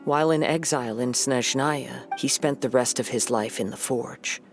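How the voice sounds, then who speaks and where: Male actor as young game swordsman, calm, reflective, soft-spoken, gravelly edge, formal phrasing. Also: mid-range voice, normal speed, natural voice. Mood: quiet, reflective. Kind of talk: scripted video game voice acting. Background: music.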